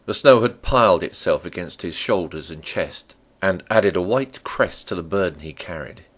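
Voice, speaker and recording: Low, very expressive male storyteller. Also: moderate pace. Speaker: audiobook narrator. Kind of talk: dramatic scripted narration.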